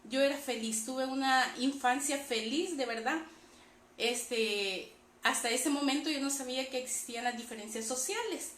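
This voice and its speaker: A woman's voice: high voice, normal speed, a bit bright. A woman with a Salvadoran Spanish accent gives a personal religious testimony to an online audience in a conversational way. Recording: echoey room with faint background noise.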